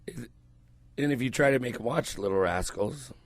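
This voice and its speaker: An older man hosting morning radio, his voice hoarse and croaky as he gets over a cold, rambling loosely and swearing freely into a headset mic in a small studio. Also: mid-range voice, normal speed, no echo. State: recovering from a cold, voice coming back. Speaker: radio host. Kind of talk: casual morning radio chat. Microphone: headset mic around the ear.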